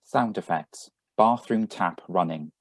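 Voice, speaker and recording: A man's voice: deep voice, slow. A man reading out scripted sound-effect directions as announcer for a live radio play on a video call. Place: remote, on a Zoom video call.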